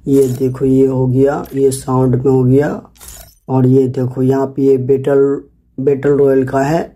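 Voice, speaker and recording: A man's voice: mid-range voice, completely flat delivery, unhurried, mellow, low-quality recording. A man with an Indian accent giving casual, chatty gameplay commentary as a video host, often addressing and repeating himself to viewers. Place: voice-over on a screen recording.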